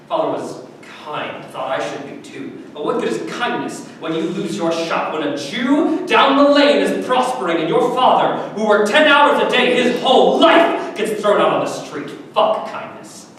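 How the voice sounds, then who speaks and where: An actor in a staged reading playing a menacing, bitter Nazi camp guard with a brooding, volatile way of talking. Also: male voice, fairly bright tone. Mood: confiding, bitter, then angry. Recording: echoey hall from far off, noisy rumble.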